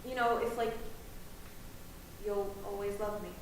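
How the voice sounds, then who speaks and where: A young woman playing a guarded, anxious grown daughter who talks in halting, hesitant bursts with her mother. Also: medium pitch, steady tone, natural voice. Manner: hesitant, halting, then heated. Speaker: college student. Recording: echoey room, distant mic, noisy ambience.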